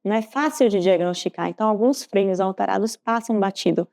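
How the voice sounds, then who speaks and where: Brazilian lady podcast host, soft mellow voice, explains stuff like a clinician chatting. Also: medium pitch, a little fast, gravelly. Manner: explanatory, often checking with "né". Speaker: podcast co-host and pediatric dentistry professional. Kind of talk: conversational explanation.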